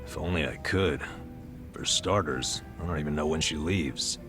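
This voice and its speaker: Burned-out middle-aged ex-nurse, extremely low voice, American accent, wry and self-deprecating, game dialogue over prominent music. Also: male voice, moderate pace. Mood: resigned. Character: burned-out, self-deprecating former male nurse confiding a past. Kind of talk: video game dub, confessional storytelling. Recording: music behind the voice.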